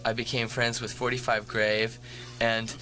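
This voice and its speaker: Bright-voiced joking musician man. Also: mid-range voice, level tone, a little slow, slight background noise, poor audio. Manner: joking, rambling, wry asides. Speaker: musician guest. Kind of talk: casual radio interview. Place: campus radio studio.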